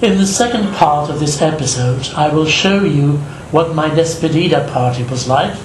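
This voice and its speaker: An older man from Belgium, narrating his travel vlog in a slow, measured, reflective voice-over. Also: a little high, echoey room, slight background noise, low-quality recording. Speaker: vlogger.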